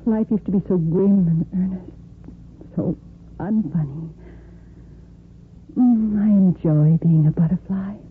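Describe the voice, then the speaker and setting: A woman's voice: fairly low pitch, slow pace, long pauses. An actress playing a playful, witty young woman in love in a 1950s radio soap, teasing and dreamy. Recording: tinny, faintly noisy vintage radio recording.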